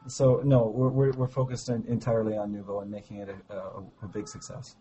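A man with a slightly hoarse voice from a cold, talking about his startup in a halting, enthusiastic way during an interview call. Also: lowish voice, level tone, mellow, slight background noise, low-quality recording. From Canada. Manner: halting, many restarts, enthusiastic. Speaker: startup founder guest. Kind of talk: casual interview over a call.

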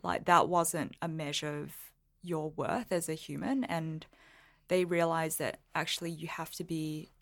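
A woman's voice: sits low, level tone, a little slow, fairly bright tone. A woman who grew up in Singapore and Melbourne, talking casually and reflectively as an interview guest, explaining with small asides. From Singapore, moved to Melbourne at thirteen. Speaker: podcast guest, lawyer and blogger.

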